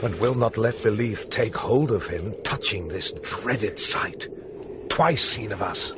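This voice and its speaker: A man's voice: lowish voice, slow pace. A British man acting an earnest, anxious soldier on night watch in Shakespearean radio drama, with intense theatrical delivery. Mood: hushed, anxious. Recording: noisy 1990s radio drama with ambience.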